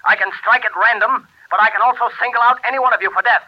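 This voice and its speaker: A man's voice: very high-pitched, fairly even intonation, quick pace, muffled recording. An actor playing an urgent newspaperman speaking through a telephone filter in a 1930s radio drama. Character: urgent, excitable male newspaperman reporting by telephone. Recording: thin telephone voice on old radio.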